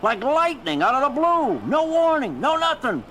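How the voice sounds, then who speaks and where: A man playing a brash, excitable city policeman in a superhero audio drama, slangy and emphatic. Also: very high-pitched, moderate pace, slight background noise, exaggerated voice. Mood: amazed.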